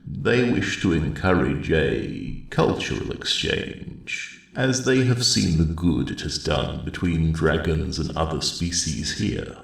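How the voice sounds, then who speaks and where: An extremely deep, heavily gravelled old male dragon, speaks softly as in an ASMR fantasy roleplay. He is courteous and kindly, taking his time over formal, thoughtful phrases, with a roomy echo around the voice. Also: heavily acted. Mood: thoughtful, calm. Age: older. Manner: measured, hesitant, formal old-fashioned phrasing. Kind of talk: ASMR roleplay, soft intimate storytelling.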